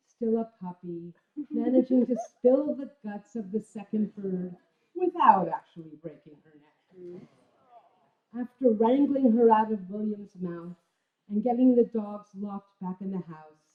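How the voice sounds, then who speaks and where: A woman gives an expressive, deliberately paced literary reading of a memoir at a live stage event. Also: mid-range voice. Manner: expressive storytelling with deliberate pauses and emphasis. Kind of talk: dramatic literary reading before a live audience. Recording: roomy, thin phone-like sound of live stage reading.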